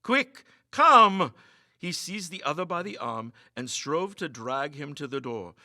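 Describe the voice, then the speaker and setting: A man's voice: on the high side, animated, normal speed, sharp tone, no room sound, clean studio sound. A man reading a ghost story as dramatic, suspenseful scripted narration, in a theatrical manner. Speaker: story reader.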